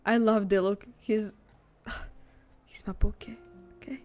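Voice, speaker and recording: A woman's voice: medium pitch, steady tone, takes it slowly. A woman livestreamer chatting casually as she reacts to a video game. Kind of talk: casual stream chat. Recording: faint game music behind.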